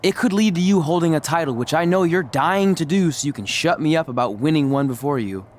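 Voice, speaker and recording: An actor playing a cocky, wisecracking champion wrestler in a video game, flippant and boastful. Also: male voice, a little high, a little fast, lightly acted. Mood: eager, teasing. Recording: faint background noise.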